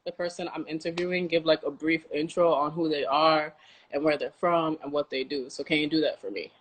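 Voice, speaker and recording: A woman's voice: deep voice, steady tone, brisk. A young woman, hosting a casual interview chat. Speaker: podcast host. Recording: noisy home recording with clicks.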